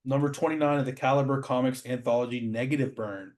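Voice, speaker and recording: A man's voice: mid-range voice, steady tone, quick pace, darkish tone. Casual male show host, admiring and informal. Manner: admiring, informal, says "man" often. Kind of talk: casual chat, commentary on comic art.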